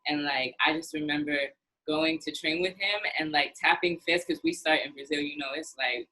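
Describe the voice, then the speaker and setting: A woman hosting a podcast, telling stories in casual chat, animated and full of "like". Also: sits low, moderate pace, rough recording.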